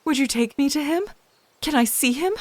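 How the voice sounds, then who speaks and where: A woman acts an anxious daughter in a radio play, with a fairly high voice. She is emotional and eager, full of urgent feeling. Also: normal speed, natural voice. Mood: excited, pleading. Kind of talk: old-time radio science fiction acting.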